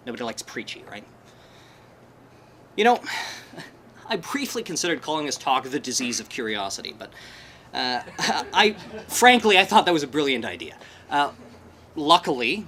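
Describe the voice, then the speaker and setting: A man giving a live talk to an audience, animated and wry, with a self-deprecating humor. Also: mid-range voice, a little hiss or hum. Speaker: presenter at a skeptics' meetup. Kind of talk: conference talk. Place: presentation room, live audience.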